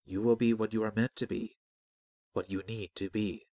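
A man voicing a calm, cryptic disembodied spirit in a fantasy story, soft and measured as he speaks in riddles. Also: fairly low pitch, dry recording, lightly acted. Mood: solemn, guiding. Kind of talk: fantasy audiobook character.

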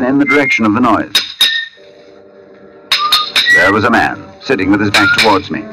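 An actor playing a brooding, polite gentleman narrator in a 1940s radio drama, measured, literary and intense. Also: mid-range voice, lightly acted, rough recording. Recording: old radio broadcast with music.

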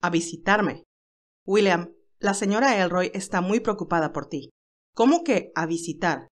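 Woman reading as loyal, anxious gentleman's assistant. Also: fairly low pitch, expressive, normal speed, lightly acted. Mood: anxious concern. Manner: deferential, formal. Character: loyal, anxious male assistant and old friend.

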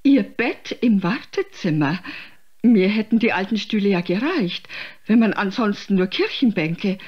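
An actress playing a pushy, pious hypochondriac nun in a radio play, sanctimonious and melodramatic. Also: female voice, mid-range voice, animated, unhurried, muffled recording, lightly acted. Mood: pious complaining. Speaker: actor in an audio drama. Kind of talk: theatrical German radio play acting.

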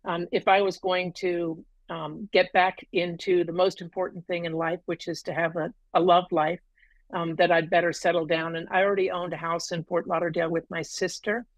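Middle-aged woman on a video call, lower voice, dry sound, lots of ums. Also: flat delivery, normal speed, no echo, poor audio. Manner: talkative storyteller, many ums and uhs. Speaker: guest. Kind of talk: casual interview. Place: room at home, green wall.

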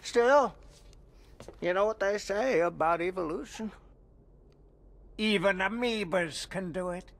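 A man's voice: high voice, slow, long pauses. An actor playing a deranged, gleeful older male villain in a video game, with theatrical mocking delivery. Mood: smug menace.

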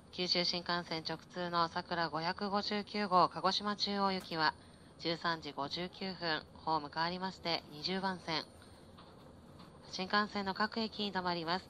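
Lady conductor doing train announcements, lowish voice, train rumble behind. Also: bright, forceful tone. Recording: train PA with vehicle noise.

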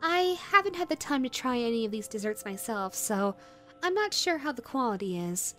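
A woman's voice: fairly high pitch, sing-song, moderate pace, bright, forceful tone, faint music behind. A woman voicing a possessive, sweetly menacing yandere rabbit woman in a roleplay audio drama, coaxing and condescending in a saccharine way. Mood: sugary, patronising.

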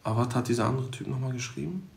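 A man's voice: mid-range voice, racing, vocal fry, a little mellow, a little hiss or hum. A man in his twenties hosting a casual livestream from his living room, blunt and slangy, talking into a phone held at arm's length. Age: 20s. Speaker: livestream host. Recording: roomy room echo.

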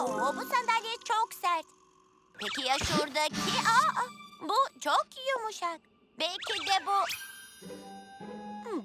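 A pampered young princess speaks in a very high, theatrical voice. She is pompous and thoroughly pleased with herself, in children's cartoon style, with music playing behind her. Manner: pompous, theatrical, self-admiring. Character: vain, pampered, sparkle-obsessed young princess. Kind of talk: animated children's cartoon acting.

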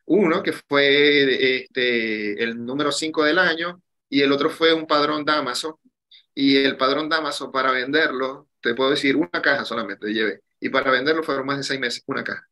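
A man's voice: medium pitch, moderate pace, pushed and bright. A man in his thirties, a cigar shop owner, talking casually over a video call from a small room, drawing out his phrases with many fillers. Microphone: webcam on a video call.

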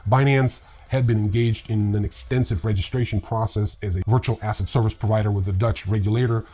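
Low, husky, mellow man presenting news. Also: fairly even intonation, brisk. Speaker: host of a cryptocurrency news video series. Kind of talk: scripted news commentary with personal asides. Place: small indoor room lined with bookshelves.

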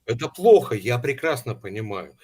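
A man with a Belarusian accent arguing casually, interrupting often and turning ironic in quick bursts. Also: medium pitch, very animated, dark tone, slightly rough. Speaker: chat partner. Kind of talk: casual argument.

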